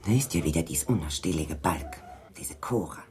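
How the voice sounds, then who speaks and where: An actress in a German radio drama playing a prim, indignant, moralizing gentlewoman with a sharp-tongued, emphatic manner. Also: a bass voice, lively intonation, moderate pace, crowd noise.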